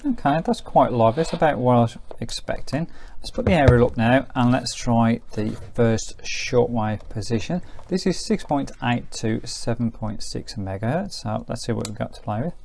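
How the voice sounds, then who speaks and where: A man's voice: medium pitch, animated, moderate pace, vocal fry, darkish tone, slight background noise. A man giving a casual hands-on product review in a methodical, explanatory manner. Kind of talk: casual hands-on gadget demonstration.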